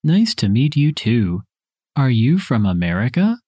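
A man reading his parts in a scripted dialogue for a language listening test, with careful, even delivery. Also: medium pitch, unhurried, breathy edge, dry recording, studio quality.

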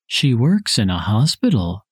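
A man reading his parts in a scripted dialogue for a language listening test, with careful, even delivery. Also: mid-range voice, unhurried, slightly rough, dry recording, clean studio sound.

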